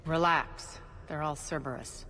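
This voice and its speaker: A woman's voice: sits low, fairly even intonation, unhurried, a bit bright, slight background noise. An actress playing a ruthless, defiant female crime boss in a video game, cool and imperious. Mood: cool, dismissive. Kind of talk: dramatic video game acting.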